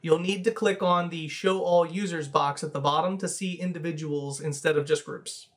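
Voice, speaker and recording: Higher-pitched man narrating a software tutorial. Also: steady tone, moderate pace. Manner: methodical, instructional. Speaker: tutorial presenter.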